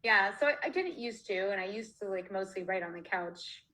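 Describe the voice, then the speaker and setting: A woman from Canada talks casually about her work over a video call. Her voice is bright and forceful, set at a middle pitch. She laughs often and restarts her sentences as she goes. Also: expressive, brisk, low-quality recording. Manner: chatty, laughs often, many fillers and restarts. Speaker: author being interviewed. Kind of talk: casual conversational interview. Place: home, online video call.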